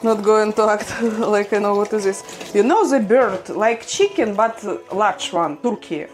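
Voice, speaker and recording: A young Ukrainian-accented woman chats with her viewers at an unhurried pace, laughing easily as her English stumbles now and then. Her pitch sits in a middle range, and guitar music plays clearly behind her. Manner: chatty, laughs often, slightly broken English. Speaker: livestream vlogger. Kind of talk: casual livestream chat reading viewer comments.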